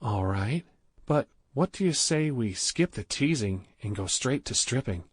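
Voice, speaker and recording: A man voicing a confident, teasing woman in a sultry, soft way. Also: medium pitch, expressive, unhurried, breathy edge, no echo, studio quality.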